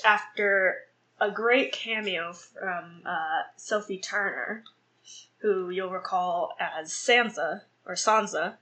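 A young woman in her twenties talks through a film on her podcast in a loose, unscripted way. Her voice sits in an ordinary middle pitch, and she keeps losing her thread and correcting herself. Fillers and quick laughs run all through her talk. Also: sharp tone, rough recording. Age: 20s. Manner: rambling and self-correcting, with frequent fillers and short laughs. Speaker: podcast host. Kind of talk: casual solo movie review.

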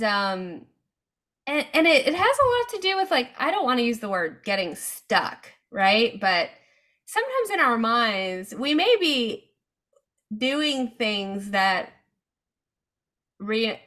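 A woman's voice: medium pitch, pushed and bright. A woman in her forties hosting a podcast, chatty and thinking aloud. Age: 40s. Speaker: podcast host. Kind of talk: casual podcast chat.

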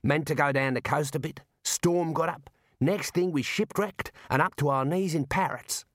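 A man's voice: on the high side, normal speed, no room sound, studio quality, voice pushed up, lightly acted. A man voicing a breezy, blunt dwarf outdoorsman in a storybook, with colloquial, clipped sentences. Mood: breezy, proud.

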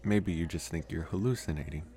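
A low-pitched young college vampire played by a man talks straight to the listener in an ASMR boyfriend roleplay. He is soft-spoken and halting, teasing in a wry, slightly awkward way, with plain affection underneath. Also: soft background music. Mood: tender, hesitant.

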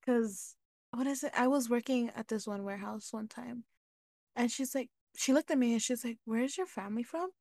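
A woman whose first language is Spanish, chatting casually as a podcast co-host, animated and laughing often. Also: on the high side, moderate pace, no echo, studio quality. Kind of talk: casual chat.

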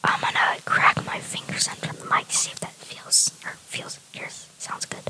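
A woman whispering breathily and close to the microphone, chatting in a halting, informal way as an ASMR creator. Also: low-quality recording. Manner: hushed, halting, informal rambling. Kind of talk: whispered ASMR with casual chat.

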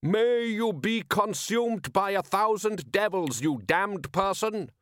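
A man acts out an indignant foreign fisherman from a comic storybook. He declaims floridly in a raised pitch, drawing his words out with theatrical swoops. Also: lively intonation, fairly bright tone, no room sound, studio quality, exaggerated voice. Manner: florid, declamatory, drawn-out insults.

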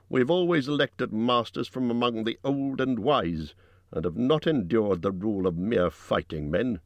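A man's voice: mid-range voice, vocal fry, no room sound, pitched above the performer's own voice. A man voicing a cunning, self-serving old town master in a pompous, indignant, oratorical manner for a fantasy storybook.

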